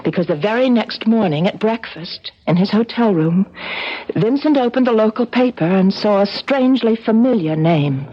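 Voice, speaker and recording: A warm, loyal gallery woman, played by an actress in a vintage radio drama. The delivery is earnest and expressive, with an encouraging temper. The sound is dull and lo-fi. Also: mid-range voice, moderate pace, slight background noise, lightly acted. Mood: reflective.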